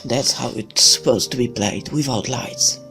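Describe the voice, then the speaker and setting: A let's player slowly reading a visual novel's story aloud in a hushed, theatrical way, with casual asides. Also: medium pitch, soft background music. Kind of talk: slow dramatic read-aloud of game text with casual asides.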